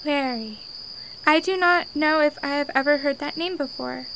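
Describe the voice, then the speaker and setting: A woman's voice: high-pitched, moderate pace, noisy background. A woman acting as a naive, earnest lost alien girl in a radio drama, speaking formally without contractions. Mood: puzzled, earnest.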